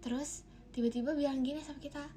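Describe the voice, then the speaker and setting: A young woman from Bogor telling a casual, animated storytime, rambling with fillers and laughs. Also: sits high, quick pace. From Bogor, Indonesia.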